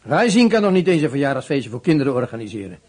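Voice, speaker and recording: An actor playing a gruff, scornful middle-aged camp commander with a dismissive manner. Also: male voice, mid-range voice, sing-song, fast talker, darkish tone. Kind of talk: radio play acting.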